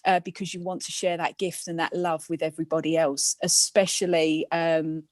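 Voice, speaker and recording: A woman's voice: deep voice, flat delivery, moderate pace, darkish tone, no echo, studio quality. A woman speaking earnestly and emphatically as a guest, giving motivational careers advice to students. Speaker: guest speaker, industry professional.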